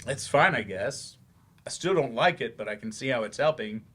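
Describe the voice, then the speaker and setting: An earnest male English teacher with a wry streak , played by a man in a stage reading. His voice sits at an ordinary middle pitch, in the manner of live theatre dialogue. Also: animated, normal speed, a bit bright, breathy edge. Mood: earnest, subdued. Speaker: actor in a staged play reading.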